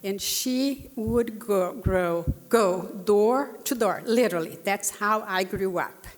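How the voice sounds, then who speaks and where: A woman's voice: mid-range voice, takes it slowly. A woman in her sixties from Brazil with a Brazilian Portuguese accent, telling a personal story as a panelist, earnestly, with fillers and restarts. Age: 60s. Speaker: panelist speaker. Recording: long hall reverb.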